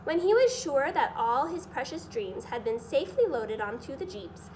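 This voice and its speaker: A woman reading a children's story aloud as expressive scripted narration. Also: high voice, moderate pace, noisy background. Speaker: storybook reader.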